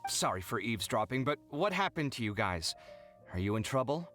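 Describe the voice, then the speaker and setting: An actor playing an expressive, easily flustered young man in a video game, theatrical and scolding but kind. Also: medium pitch, fairly quick, soft background music. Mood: concerned, sighing. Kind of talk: scripted video game dialogue.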